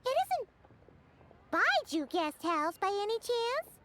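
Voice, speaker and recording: A woman voicing a small, excitable, cheeky girl companion in a video game, with a squeaky childlike voice. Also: takes it slowly, background noise, heavily acted. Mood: surprised, chatty. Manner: exclamatory, animated. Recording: music playing behind the voice.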